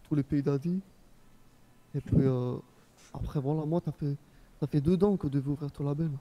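A man's voice: on the high side, fairly even intonation, normal speed, highs cut off, lightly acted. A man playing a young, easygoing Japanese-born rap label boss in a game, talking in informal, rambling slang. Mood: casual, proud. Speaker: role-player. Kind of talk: casual in-character game chat.

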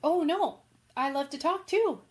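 A woman's voice: high-pitched, expressive, unhurried, a little mellow. A woman acting a bold, theatrical tomboy teenage girl in an amateur radio drama, with eager, exclamatory delivery. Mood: excited.

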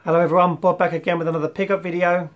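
A young man hosting a casual retro collection vlog, rambling with ums and clearing his throat, his voice slightly worn from losing it. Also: high voice, little pitch movement, very fast, lo-fi sound. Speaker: retro game collector vlogger. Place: small room full of toy shelves, indoors.

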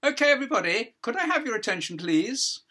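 A man voicing a fussy, patronising judge in a stage play, brisk and condescending with false geniality. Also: very high voice, sing-song, bright, forceful tone, pitched above the performer's own voice, exaggerated voice. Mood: officious. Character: fussy, patronising male judge at party hearing.

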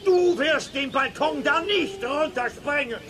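An actor playing a crazed, menacing man in a children's audio drama, ranting in drawn-out shouts. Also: very high voice, recorded from a distance, crowd noise. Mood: angry, threatening. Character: crazed, menacing man guarding his spooky house.

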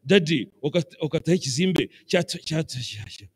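Ugandan bishop preaching, high-ish voice, lots of church reverb, really animated. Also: male voice, fairly quick, slightly rough. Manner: animated preaching, mixing English phrases into his talk, acting out quoted voices. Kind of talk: sermon. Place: large church, indoors. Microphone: handheld mic. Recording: long church hall reverb.

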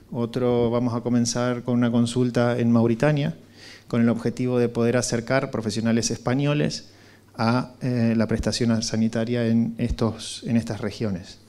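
A middle-aged man with an Argentine accent, pitching a project and answering questions in a halting, searching way into a handheld mic. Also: little pitch movement, a little mellow. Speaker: startup founder presenting. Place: indoor conference room with small seated audience. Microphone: handheld microphone.

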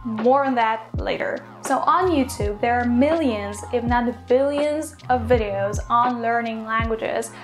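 A young woman from Poland explains languages straight to camera in an upbeat, brisk way. Her voice sits a little high, she is recorded close up in a small bedroom, and music plays clearly underneath. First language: Polish. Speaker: language teacher and YouTuber. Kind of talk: direct-to-camera video lesson.

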